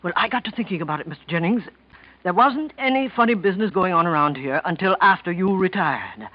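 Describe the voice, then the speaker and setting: A polite bookkeeper speaks earnestly in a fairly high man's voice, calmly scheming behind a show of sincerity. It sounds like a dull, muffled 1940s radio drama. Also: fast. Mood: earnest, confiding.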